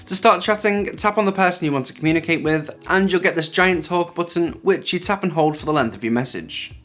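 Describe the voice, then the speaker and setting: A man's voice: on the high side, a little fast, fairly bright tone. A man hosting a tech video, narrating a scripted hands-on review. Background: background music.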